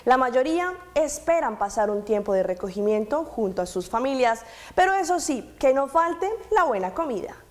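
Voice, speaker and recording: A woman's voice: high voice, big pitch swings, normal speed, dry recording. A woman presenting scripted television news as host and reporter.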